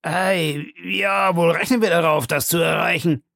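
A reader voicing a scheming male police detective who speaks in a low, confiding, persuasive way. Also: fairly even intonation, unhurried, vocal fry, fairly bright tone, no room sound, studio quality.

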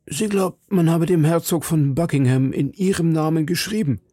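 Man voicing a timid, high-pitched townsman. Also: moderate pace, mellow, husky, no echo, studio quality. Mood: earnest, confiding. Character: timid, deferential middle-aged townsman and landlord, anxious. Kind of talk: audiobook character dialogue.

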